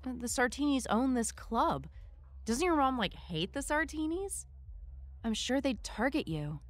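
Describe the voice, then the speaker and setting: A woman's voice: high-pitched, lively intonation, a little slow, dry recording. A woman playing a guarded, sarcastic young sidekick in an audio drama, speaking casually and bluntly. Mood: guarded, evasive.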